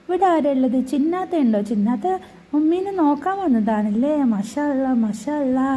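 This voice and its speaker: A woman's voice: high-pitched, animated, moderate pace, dark tone. A woman voicing a chatty, cheeky newborn baby in a family video voice-over, talking in a sing-song, cooing way with teasing asides.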